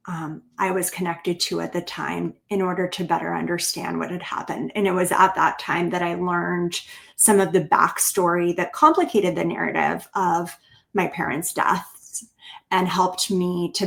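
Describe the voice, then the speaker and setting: A woman with an American accent, answering interview questions in a thoughtful, measured way and choosing her words carefully. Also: on the low side, creaky. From Bulgaria, grew up in the United States. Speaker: interview guest, writer and therapist. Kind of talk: reflective interview conversation.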